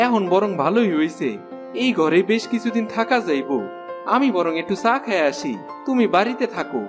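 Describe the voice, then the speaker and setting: A man with a rural Bangladeshi accent playing a humble, forgiving poor village husband in a cartoon, plain-spoken and deferential. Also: high voice. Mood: musing, relieved. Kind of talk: cartoon dialogue acting.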